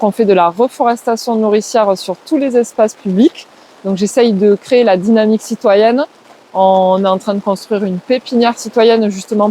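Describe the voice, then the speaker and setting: Soft-toned woman with southwest French accent. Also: mid-range voice, a little hiss or hum. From southwest France. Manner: hesitant, lots of "euh" and "ben", lists things off. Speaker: guest, permaculture trainer. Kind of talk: interview answers, relaxed explaining.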